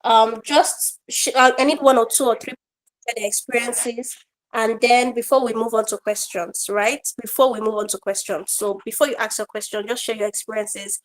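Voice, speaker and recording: A woman trainer hosting an online feedback session, talking casually with many fillers, her voice a bit croaky today. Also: on the high side, fairly even intonation, normal speed, rough recording. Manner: warm, rambling, with fillers and laughs. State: slightly croaky voice. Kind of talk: casual online class talk. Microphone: online video call.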